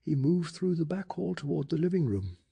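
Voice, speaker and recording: Soft-spoken, gravelly male ASMR storyteller. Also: a little high, normal speed. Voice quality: breathy, gravelly. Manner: hushed, calming, dramatic storytelling.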